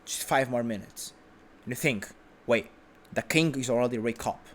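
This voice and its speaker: A man's voice: mid-range voice, normal speed, fairly bright tone, slight background noise. A man who is a non-native English speaker, delivering an informal, unscripted motivational monologue as a host, emphatic and repetitive. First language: not English.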